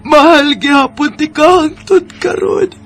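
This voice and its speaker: A woman's voice: sits high, a little slow. A woman with a Cebuano Filipino accent playing a lovelorn young woman in a radio drama, confessing a long-hidden love. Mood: hurt, pleading. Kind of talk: Bisaya radio drama acting. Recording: music behind the voice.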